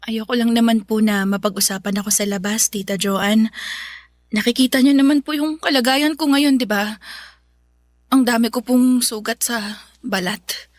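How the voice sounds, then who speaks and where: A young woman acting in a radio drama, speaking softly and respectfully with a remorseful tone. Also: on the high side, moderate pace, pauses often, dry recording, studio quality, natural voice. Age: young adult.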